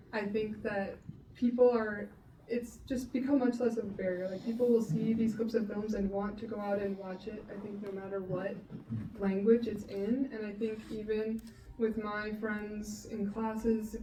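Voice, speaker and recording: A woman's voice: medium pitch, warm tone. A woman in her twenties answering casually and explaining her views as a student on a panel. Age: 20s. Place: panel event room with ambient noise. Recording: roomy distant mic, faint noise.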